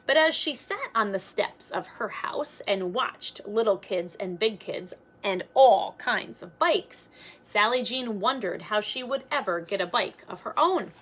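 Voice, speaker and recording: A woman's voice: mid-range voice, expressive, measured pace, fairly bright tone, breathy edge. A woman reading a children's picture book aloud like a teacher, expressively, with simple explanatory asides.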